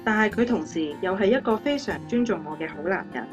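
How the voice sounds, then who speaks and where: An actress playing an adoring young fiancée in a radio drama interview, earnest and affectionate. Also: female voice, mid-range voice, lively intonation, fast talker, lightly acted. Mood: loving. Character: adoring, happy young fiancée of a motivational speaker. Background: guitar music.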